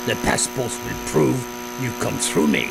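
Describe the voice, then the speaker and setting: A wary underworld boss with a heavily creaking, gravelly voice. His delivery is sardonic and moves widely in pitch. It is game cutscene dialogue over loud humming noise. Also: huge pitch swings, a little slow, exaggerated voice. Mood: businesslike, guarded. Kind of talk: video game cutscene acting. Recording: loud hum and noise behind voice.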